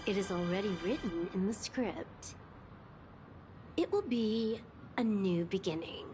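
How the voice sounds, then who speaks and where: An actress playing a cool, composed messenger of fate in a video game, measured and solemn. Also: female voice, medium pitch, dark tone, soft background music, lightly acted. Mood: calm, fateful. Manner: measured, solemn, matter-of-fact. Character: composed, fateful woman, cool prophetic messenger.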